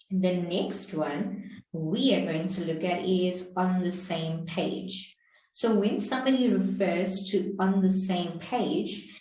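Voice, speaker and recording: A South African woman teaching English business expressions in a slow, explanatory way, repeating her points. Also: on the low side, a little mellow, distant mic. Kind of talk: online lesson. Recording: very echoey room, off the mic.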